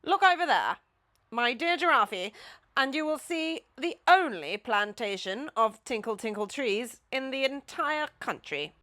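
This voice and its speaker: A woman's voice: sits high, animated, measured pace, sharp tone, pitched above the performer's own voice, full character voice. A woman voicing a grand, know-it-all but generous male Duke in a storybook, with a pompous, declamatory manner.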